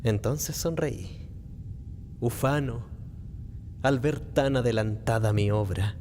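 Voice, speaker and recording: A man playing a nervous, obsessive madman confessing a murder in a theatrical, deliberate radio play monologue. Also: medium pitch, warm tone, slight background noise, close to an everyday voice. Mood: smug, cold. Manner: theatrical, deliberate, halting phrasing. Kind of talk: dramatic radio play monologue, gothic horror narration.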